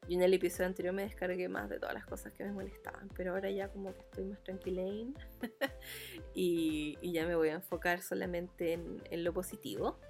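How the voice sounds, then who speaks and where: A woman's voice: lowish voice, steady tone, fairly quick, darkish tone, soft background music. A woman with a Chilean accent from Santiago, chatting informally and loosely in a solo podcast monologue. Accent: Chilean Spanish (Santiago). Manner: informal, rambling, colloquial. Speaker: podcast host.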